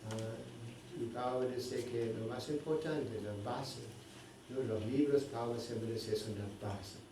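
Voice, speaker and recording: A man giving a scripture class, often pausing to search for words and checking in with his listeners with a questioning tag. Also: mid-range voice, steady tone. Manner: searching for words, constant "¿no?" tags. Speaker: spiritual teacher. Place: indoor temple hall. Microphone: microphone at a distance. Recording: very echoey hall, distant mic, faint noise.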